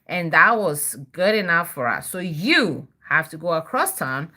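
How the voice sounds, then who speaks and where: A woman's voice: on the low side, big pitch swings, a little slow, pushed and bright, full character voice. A woman voicing blunt, unsympathetic older sisters in a picture book read-aloud, matter-of-fact and lecturing. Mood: dismissive.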